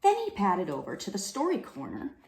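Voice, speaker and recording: A woman reading a children's storybook aloud with expressive, dramatic delivery. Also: medium pitch, brisk. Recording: roomy, echoing room sound.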